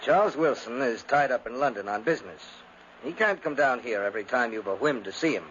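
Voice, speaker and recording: A man's voice: medium pitch, expressive, fairly quick, a bit bright, natural voice. A stern aristocratic brother acted by a man, controlling and impatient, with uneasy undertones. He speaks curtly in theatrical 1950s radio style, on a muffled recording with faint hiss. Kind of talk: 1950s radio drama acting.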